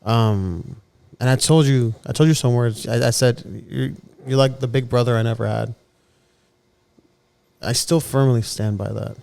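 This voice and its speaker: A man's voice: medium pitch, unhurried, gravelly, a little mellow, slight background noise. Earnest man in his thirties, casual chat. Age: 30s. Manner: earnest, repeats words as he gathers his thoughts. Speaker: podcast co-host.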